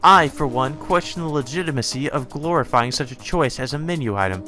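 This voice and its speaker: A man voicing a haughty, spoiled young woman with prim, formal diction and a sharp temper, in a visual novel. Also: medium pitch, expressive, fairly quick, faint music behind. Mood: haughty, lecturing. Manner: prim formal diction that snaps into foul-mouthed commands.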